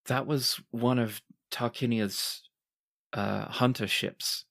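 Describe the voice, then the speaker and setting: A man's voice: medium pitch, steady tone, slow, gravelly, a bit bright. A man improvising narration as the game master of a tabletop roleplaying podcast.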